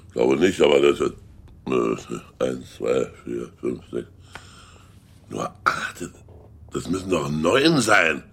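An actor playing a gruff, easily flustered gun shop owner in a German radio play. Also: male voice, a bass voice, big pitch swings, takes it slowly, long pauses, a bit bright, full character voice.

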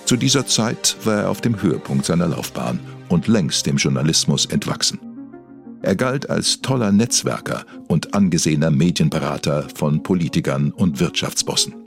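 A man's voice: a bass voice, animated, normal speed, creaky, soft background music, exaggerated voice. A man narrating a mock celebrity documentary as a solemn, scripted storyteller. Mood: deadpan solemn.

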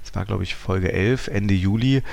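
Man, creaky fry, somewhat deep, conversational explainer, reflective podcast talk. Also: normal speed, darkish tone. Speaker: podcast co-host.

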